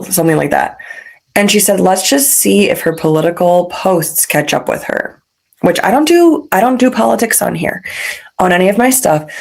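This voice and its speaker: A woman's voice: mid-range voice, gravelly, a little mellow. A woman hosting a podcast, chatting in a gushing, gossipy way, congested with a cough. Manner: chatty, gushing, fast asides. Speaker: podcast host. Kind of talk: casual gossip commentary.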